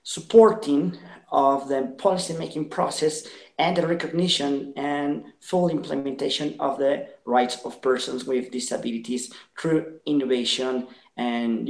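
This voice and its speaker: A young man from Ecuador with a Latin American Spanish accent, a native Spanish speaker, talking earnestly as an interview guest, with many fillers and pauses. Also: medium pitch, gravelly, roomy sound. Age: 20s. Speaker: guest, social entrepreneur. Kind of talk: video call interview.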